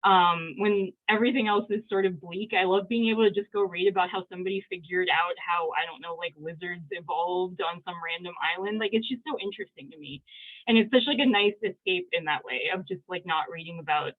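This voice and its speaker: A woman's voice: fairly low pitch, a little fast. A woman researcher talking enthusiastically about science on a video call panel, rambling with many "likes". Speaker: early career researcher guest. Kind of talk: casual panel chat. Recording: tinny phone-like call audio.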